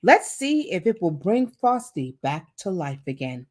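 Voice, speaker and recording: Eager little girl, voiced by a woman reader, storybook character, exclamatory, swooping pitch. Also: unhurried, slight background noise. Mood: excited wonder. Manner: excited exclaiming.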